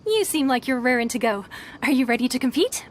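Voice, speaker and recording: A woman's voice: sits high, expressive, fairly quick, slight background noise. An actress voicing a polite female tournament official in a video game with a courteous, businesslike manner.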